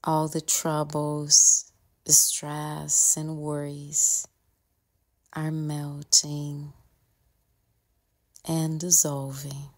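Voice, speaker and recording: Female meditation guide: low, very flat pitch, calm and gentle, soothing instruction in short phrases with long pauses.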